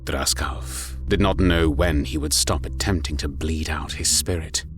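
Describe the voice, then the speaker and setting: A man giving a grave, measured, dramatic narration of a scripted story. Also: rumbling low, heavy background noise. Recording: music under the voice. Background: music.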